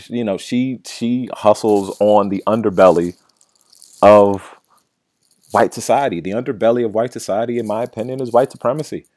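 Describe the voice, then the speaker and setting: A man's voice: lowish voice, a little mellow, dry recording, clean studio sound. A young man hosting a casual solo podcast, talking bluntly and with a lot of swearing. He stutters at times and keeps ending his points with "right?". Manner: often ends points with "right?". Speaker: podcast host.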